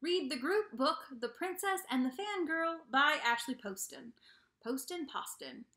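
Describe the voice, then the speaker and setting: Young female vlogger, bright and bubbly, casual announcement to camera, recorded in an echoey bedroom. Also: sits high, a little slow. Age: young adult. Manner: bubbly, animated, playful. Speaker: YouTube host of a book channel. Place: small bedroom indoors. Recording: echoey untreated room.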